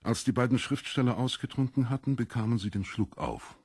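Ein Mann liest als Hörbucherzähler einen literarischen Text, mit rauer Stimme und bedachter, dramatischer Phrasierung. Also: male voice, mid-range voice, fairly quick, a little mellow, slightly rough, no room sound, clean studio sound. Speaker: audiobook narrator. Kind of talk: scripted literary narration.

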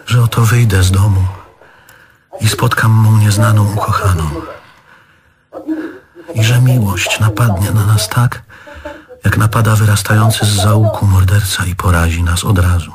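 A man's voice: lowish voice, natural voice. An actor playing a neurotic, bitter middle-aged man in a stage play, querulous and histrionic in a colloquial way. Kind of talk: theatrical stage play acting. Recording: live theatre recording with audience.

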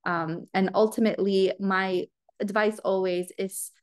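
A woman's voice: medium pitch, fairly even intonation, measured pace, clean tone. A Lebanese American woman in her thirties answering seminar questions over a video call, earnest, with many ums and restarts. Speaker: guest speaker. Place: home room.

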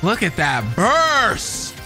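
A man's voice: high-pitched, huge pitch swings, extremely slow, creaky. A young man doing hyped, slangy live reaction commentary as a streamer, full of exclamations. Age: young adult. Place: indoor room, streaming setup. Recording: game trailer music faintly behind.

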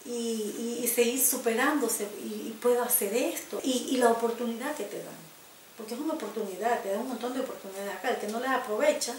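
An older woman from El Salvador speaks with a Salvadoran Spanish accent and a fairly high voice. She tells her story slowly and reflectively, stumbling over repeated starts. The room sounds roomy, with a faint hiss from a home video camera. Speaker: interviewee. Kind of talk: personal life-story interview. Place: living room, indoors.

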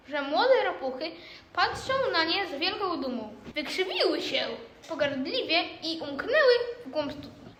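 A woman's voice: very high-pitched, a bit bright. A young child reading scripted storybook narration for an amateur radio play, heard as a girl. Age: child or young teen. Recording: echoey room.

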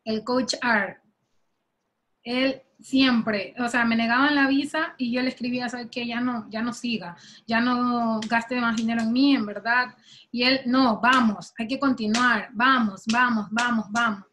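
A woman's voice: fairly high pitch, steady tone, measured pace, slight background noise, poor audio. Hesitant young woman from Ecuador. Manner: soft-spoken and hesitant, with many fillers. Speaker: softball player. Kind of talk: interview over video call.

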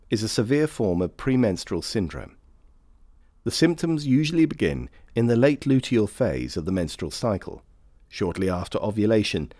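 Guy narrating a training film, lowish voice, lots of up and down, formal and measured. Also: a little mellow, no echo, studio quality. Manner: measured, formal, clearly phrased. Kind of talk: scripted informational narration.

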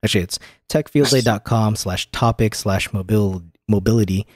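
A man's voice: fairly low pitch, normal speed, a little mellow, breathy edge, no room sound, studio quality. A man hosting a podcast, chatting casually and explaining things about tech. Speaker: podcast host.

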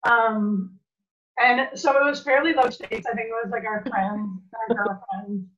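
A woman's voice: a little high, steady tone, measured pace, a bit bright. A young Canadian woman, a musician, chatting casually in an interview over a video call. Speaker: band musician being interviewed. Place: room at home. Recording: muffled, lo-fi video call audio.

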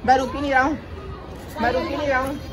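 A man's voice: extremely high pitch, level tone, fast talker, a bit bright, a faint crowd. A man, chatting casually and animatedly to viewers as a vlogger, often repeating his phrases. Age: 20s. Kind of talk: casual vlog chat and running commentary. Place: open urban rooftop, outdoors. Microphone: phone camera, near to a few metres away. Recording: noisy outdoor phone recording with people around. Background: outdoor city noise, voices.

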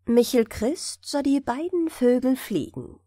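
A woman's voice: high voice, lively intonation, measured pace, mellow, dry recording. A woman storyteller narrating a scripted bedtime fairy tale for an audiobook.

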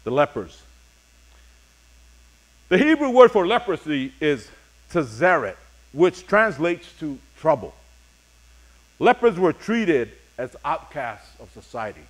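A middle-aged man preaching a sermon as pastor, reading scripture and speaking deliberately in short, paused phrases. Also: on the high side, sing-song, rough recording. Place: church sanctuary, speaking from a pulpit on stage.

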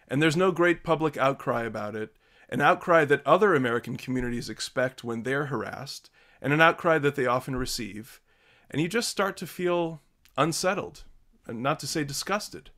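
A man in his thirties hosting a video call from a home study, giving measured, earnest opening remarks. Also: mid-range voice, expressive, fairly bright tone. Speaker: host.

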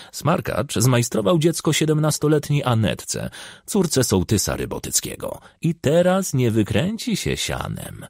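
Male audiobook narrator: gritty, husky voice, hugely animated storytelling, close dry studio sound. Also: lowish voice, no room sound. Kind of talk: scripted dramatic narration of a novel.

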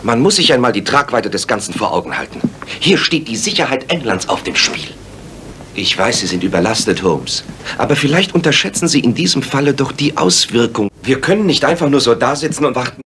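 An actor playing an earnest, polite gentleman sidekick who also narrates in an audio drama. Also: medium pitch, big pitch swings, brisk, a little hiss or hum. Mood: earnest, concerned.

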